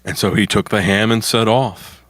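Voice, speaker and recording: Low-pitched male storyteller, dry studio sound. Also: fairly even intonation, moderate pace, a bit bright, no room sound. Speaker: storyteller, narrator. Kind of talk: scripted folktale narration.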